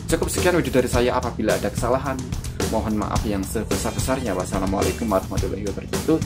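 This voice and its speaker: A man's voice: lowish voice, quick pace. A man with a Javanese accent hosting a reaction video, talking casually and persuasively to his viewers and asking rhetorical questions. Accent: Javanese-accented Indonesian. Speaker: YouTube reaction host. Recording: music playing behind.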